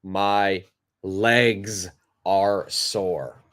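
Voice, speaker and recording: A man teaching English in a live online lesson, who speaks slowly and deliberately, repeats key words for his learners, and has a stuffed-up nose. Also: deep voice, steady tone, fairly bright tone.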